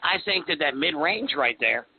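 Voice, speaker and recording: A man's voice: fairly high pitch, animated, moderate pace, creaky. A man hosting a live radio interview, enthusiastic and repeating "right there" as he praises the guest. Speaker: radio host. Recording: phone-like radio recording with faint noise.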